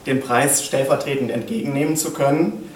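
A man's voice: mid-range voice, brisk. A middle-aged man giving an acceptance speech at a lectern, recorded from a camera out in the room. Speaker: producer, director and author accepting an award on behalf of an actor. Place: small indoor event stage at a lectern. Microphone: far camera in the audience. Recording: echoey stage room, distant camera, faint hiss.

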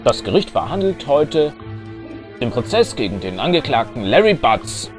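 A man playing a ponderous, formal old judge in an animated courtroom drama. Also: mid-range voice, normal speed, close to an everyday voice. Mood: solemn. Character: solemn old male judge, ponderous, easily swayed. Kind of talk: animated courtroom fan dub acting. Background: background music.

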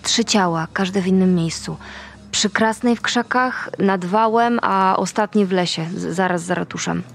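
A woman plays a junior police officer in a crime audio drama. Her voice is medium in pitch, and she reports in a dutiful, matter-of-fact way, deferring to a superior. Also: normal speed, gravelly, natural voice. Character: young female police detective, dutiful subordinate reporting.

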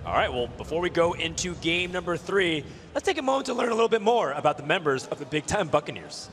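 A man calls an esports match in a fairly high voice with lively swings of pitch. His delivery is quick, in the style of live game commentary, with clear music behind him.

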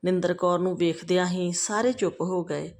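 A woman's voice: on the low side, fairly even intonation, moderate pace, darkish tone, slight background noise. A woman reading a scripted family moral story as a storyteller.

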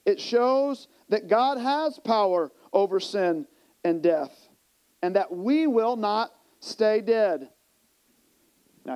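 High-pitched male pastor preaching emphatically. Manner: emphatic, rhetorical questions, swings between hushed and raised delivery. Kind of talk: sermon preached to a congregation. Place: church sanctuary stage, indoors. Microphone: small mic clipped to the chest.

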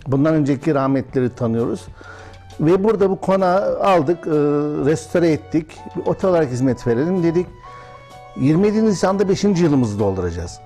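Mellow-voiced Turkish hotel owner in his fifties. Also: on the high side. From Bursa, Turkey. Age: 50s. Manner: measured, with frequent hesitation fillers. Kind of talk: interview answers. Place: historic restaurant dining room, indoors.

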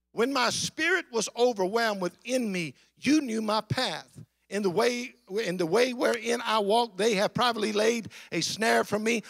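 A man preaching an impassioned sermon to a congregation in an emphatic, declamatory way, repeating phrases for effect, with a gravelly voice. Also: high voice, fairly bright tone, no room sound.

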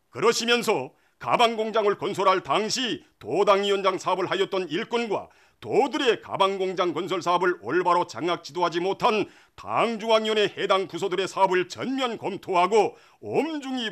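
A man's voice: high-pitched, fairly bright tone. A man with a North Korean accent reading scripted state television news narration in a solemn, reverent, declamatory manner.

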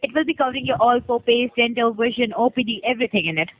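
A woman's voice: a little high, quick pace. A woman cold-calling as a telemarketer, going through a sales script over the telephone. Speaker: telemarketing caller. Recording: tinny narrowband phone line.

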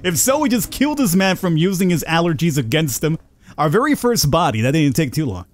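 A man's voice: fairly high pitch, big pitch swings, brisk, slight background noise. A man giving casual commentary as host of a gaming video.

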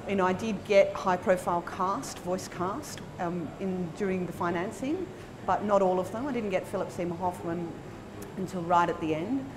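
An Australian woman in her forties talking conversationally in an interview as a film producer, in an animated, emphatic, informal manner. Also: mid-range voice, rough recording. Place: indoor room by a bright window at a festival. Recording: chatter of people in the background.

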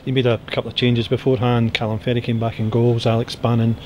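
A male interviewer fires off quick questions at a rapid clip in a fairly flat voice. He is outdoors by a stadium pitch, and a light background hum surrounds the recording. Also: highs cut off. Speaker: club media interviewer. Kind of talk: post-match interview questions. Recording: faint outdoor stadium ambience.

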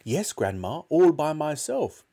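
Storybook role: young lad, higher voice, earnest and eager to be believed, put on by a narrator. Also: sing-song, a little slow, warm tone. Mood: insistent, defiant. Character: earnest young boy, grandson, eager and defiant.

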